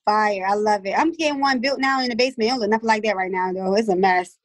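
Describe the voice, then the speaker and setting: A woman's voice: medium pitch, quick pace. A woman in her thirties chatting as a guest, candid and slangy, often laughing as she tells stories. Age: 30s. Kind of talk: casual chat.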